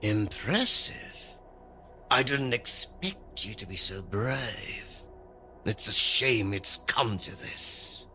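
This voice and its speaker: A man's voice: lowish voice, takes it slowly, sharp tone, heavily acted. An actor playing a menacing, controlling male stalker in an audio thriller, with a threatening, taunting manner. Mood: cold, taunting. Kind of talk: audio thriller drama acting. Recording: music under the voice.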